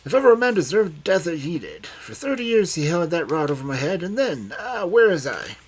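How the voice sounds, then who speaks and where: A man voicing an agitated, feeble-minded old gentleman with guilty cunning, excitable and rambling, in an audiobook story. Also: fairly high pitch, a little slow, breathy edge, voice pushed up, exaggerated voice. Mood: excited, bitter. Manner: excitable, rambling, muttering exclamations.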